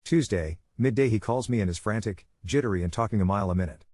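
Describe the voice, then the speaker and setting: A man narrating an online story in a brisk, even reading style. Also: on the low side, no echo, clean studio sound.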